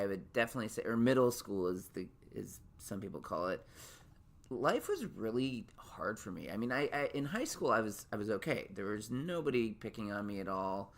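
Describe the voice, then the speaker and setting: A man in his late thirties hosting his podcast in a casual solo monologue. His voice sits naturally high, and he rambles with lots of restarts and fillers. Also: fairly bright tone. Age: late 30s. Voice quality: naturally high voice he can drop lower. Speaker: podcast host.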